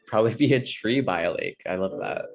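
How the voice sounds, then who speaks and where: Upbeat deep-voiced webinar host woman. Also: lively intonation, fairly quick, darkish tone. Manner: upbeat, friendly, many fillers. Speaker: webinar host, community education manager. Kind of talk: hosting an online webinar.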